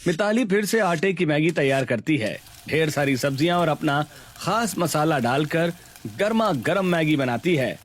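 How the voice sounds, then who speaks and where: A man's voice: fairly high pitch, expressive, moderate pace, a little hiss or hum. A man narrating a scripted cartoon family story.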